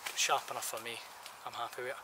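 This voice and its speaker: A man's voice: mid-range voice, flat delivery, fast talker. A man, giving a casual, rambling gear review outdoors in colloquial language. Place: outdoors in a woodland camp. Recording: distant outdoor mic, some background noise.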